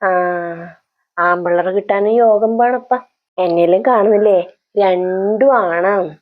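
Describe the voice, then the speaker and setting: A woman's voice: mid-range voice, measured pace, narrow, tinny audio, close to an everyday voice. A woman playing a tactless, complaining visiting village aunt in a comedy sketch, with a Kannur accent. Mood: smug, tactless. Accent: Kannur, north Kerala. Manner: backhanded, complaining.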